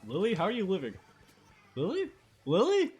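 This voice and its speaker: A man's voice: high voice, wildly swooping pitch, normal speed, a little mellow. A man livestreaming a video game, chatting casually with viewers and commenting on play in informal, slangy speech. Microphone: streaming microphone. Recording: music playing behind the voice. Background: music.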